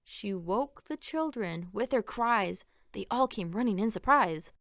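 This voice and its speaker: Woman doing a kids storybook read aloud, real expressive, takes her time, higher voice, very dry sound. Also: unhurried, no echo. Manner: expressive, theatrical storytelling with emphatic phrasing. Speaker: picture book reader.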